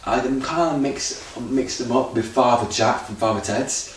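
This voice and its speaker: A man's voice: medium pitch, unhurried. Rambling man in an echoey room. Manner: rambling, improvised, restarts and loose grammar. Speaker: auditioning actor. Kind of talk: unscripted audition monologue. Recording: very echoey room, far from mic, faint noise.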